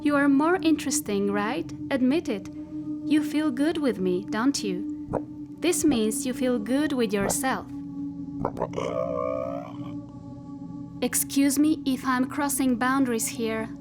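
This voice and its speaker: Sci-fi audio drama: female actor as a smug, possessive machine intelligence, higher-pitched, unhurried, insinuating, over-articulated. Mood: seductive. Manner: slow, deliberate, over-articulated, intimate and insinuating. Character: possessive, coaxing spacesuit AI machine, self-satisfied and controlling. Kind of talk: theatrical science fiction monologue. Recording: music behind the voice.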